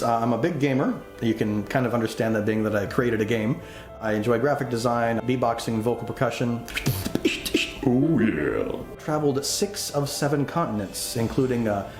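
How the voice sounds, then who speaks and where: A man's voice: mid-range voice, darkish tone. Male game master storytelling over music. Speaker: game master, host and game developer. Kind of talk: hosting and storytelling for a tabletop game.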